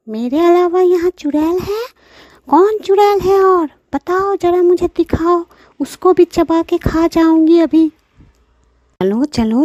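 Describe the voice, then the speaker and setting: A woman voicing a menacing, boastful old witch granny in a children's cartoon story, with cackles and drawn-out threats. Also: high-pitched, dark tone, no echo, pitched above the performer's own voice. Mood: boastful, indignant.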